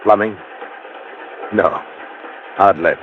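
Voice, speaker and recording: Male villain: suave, enigmatic, formal, unhurried delivery, thin vintage radio sound. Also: lowish voice, fairly even intonation, phone-quality sound, a little hiss or hum. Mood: coolly probing. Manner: measured, formal, polite. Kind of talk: old-time radio thriller acting.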